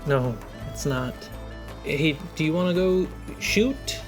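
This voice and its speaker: A game master voicing a steady, guarded young male soldier who speaks in short, clipped sentences. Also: mid-range voice, takes it slowly, faint music behind. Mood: friendly.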